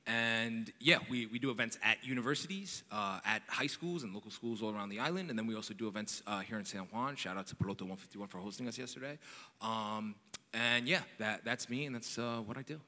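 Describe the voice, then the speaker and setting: A man's voice: on the low side, brisk, pushed and bright. A man from New York telling his story earnestly and energetically on a conference panel. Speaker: panelist, nonprofit founder. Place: large conference hall. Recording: long hall reverb.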